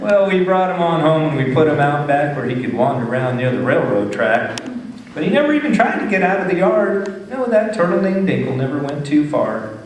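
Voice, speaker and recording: A man's voice: a little high, very animated, a little fast, crowd noise. A man with a folksy Texan drawl giving a playful, dramatic live poetry reading for children and families. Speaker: storytelling poet performer. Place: live reading in an echoey room. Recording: echoey room, distant mic, faint noise.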